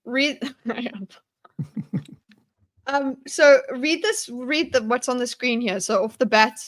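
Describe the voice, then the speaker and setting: A woman's voice: a little high, slow pace. A woman hosting a casual live panel chat and prompting the others. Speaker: host.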